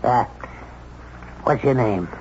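An actor playing a brash, blustery older business tycoon with a brusque, commanding manner, in 1970s radio drama. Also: male voice, medium pitch, takes it slowly, darkish tone, natural voice. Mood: breezy, commanding. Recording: muffled 1970s radio recording, faint noise.

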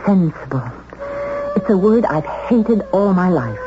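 Dry, sensible American spinster in her thirties, low and lively, vintage radio drama. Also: a little slow. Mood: wry, fed up. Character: sensible, wryly resentful unmarried woman of thirty-six. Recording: dull, noisy 1970s radio recording.

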